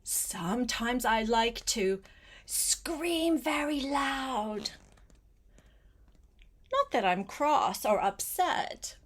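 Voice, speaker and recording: An older woman, a grandmother, reads a picture book aloud to a young child, gently adding simple asides and questions. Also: a little high, animated, very slow, long pauses, breathy edge. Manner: gentle, simple child-directed talk with questions and short phrases.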